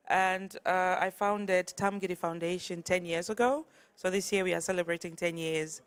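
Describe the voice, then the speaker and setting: A tired woman from Zimbabwe speaks earnestly at a conference, in a fairly low voice. She stumbles and restarts a little as she goes. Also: a bit bright, clean tone. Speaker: conference speaker, artist and curator. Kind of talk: conference talk. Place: conference hall.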